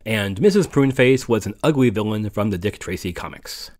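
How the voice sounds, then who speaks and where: A man's voice: fairly low pitch, lively intonation, fairly quick, a little mellow. A man hosting a podcast, reading an informal, explanatory introduction from notes. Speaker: podcast host.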